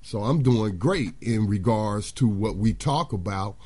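Slightly hoarse man chatting on radio. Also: fairly low pitch, expressive, unhurried, darkish tone. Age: older. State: slightly hoarse, losing his voice. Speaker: radio co-host. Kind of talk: casual radio chat.

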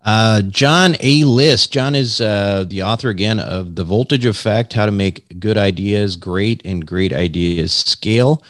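Brief: man from Minnesota, podcast host, lowish voice, dry close recording, relaxed delivery. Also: unhurried, hardly any pauses, no echo. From Minnesota, USA. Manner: relaxed, with frequent "uh" fillers. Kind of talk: casual podcast hosting.

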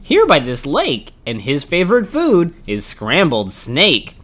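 A man's voice: fairly high pitch, wildly swooping pitch, unhurried, fairly bright tone, faint music behind, exaggerated voice. A father reading aloud to his toddler, voicing a small, clever, brave mouse in a cheeky sing-song tone.

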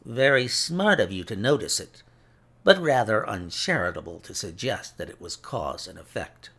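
A man's voice: on the low side, lively intonation, moderate pace, fairly bright tone, breathy edge, exaggerated voice. A man voicing a gloating, cruel villain in an audiobook story, taunting with smug mock politeness. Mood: sneering menace.

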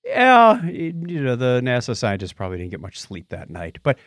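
Animated man hosting a chatty podcast. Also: medium pitch, moderate pace, no echo, clean studio sound. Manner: jokey, chatty. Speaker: podcast host. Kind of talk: casual news and birthdays banter.

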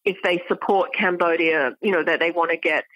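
A woman speaking as an academic expert in an interview, explaining in an articulate way with pauses mid-phrase. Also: on the low side, steady tone, fairly bright tone, no room sound, poor audio.

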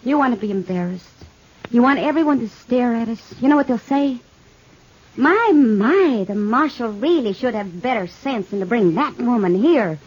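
Muffled old radio western: American saloon woman, dry and teasing, husky, fairly high voice. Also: expressive, moderate pace, darkish tone. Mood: bitter, frank. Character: wry, guarded, warm-hearted saloon hostess woman. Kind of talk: 1950s radio western acting. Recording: muffled 1950s radio recording.

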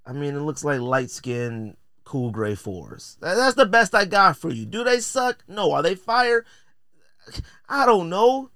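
Animated American man, blunt podcast host. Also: fairly high pitch, smooth, dry recording. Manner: slangy, blunt, joking, theatrical delivery. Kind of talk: casual opinionated podcast commentary.

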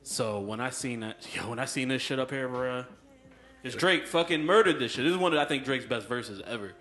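A man casually chatting as a podcast host, excitable and slangy, and prone to shrieking when he gets hyped. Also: medium pitch, brisk. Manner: profane, slangy storytelling. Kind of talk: casual chat.

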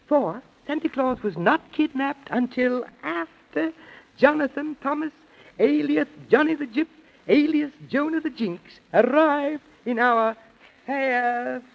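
An actor playing a pompous, frantic, stammering professor in a 1930s children's radio comedy. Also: male voice, very high-pitched, vocal fry, exaggerated voice. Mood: accusing. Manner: stammering, repeating himself, overblown alliterative oratory. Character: frantic, pompous, loony male professor prosecuting in mock court. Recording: muffled vintage 1930s radio recording.

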